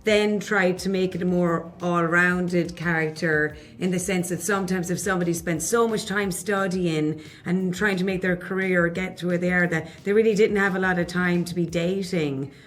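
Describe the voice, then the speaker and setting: Soft-spoken woman, thoughtful. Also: lowish voice, fairly even intonation. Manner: thoughtful, unhurried. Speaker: actress. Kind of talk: press junket interview.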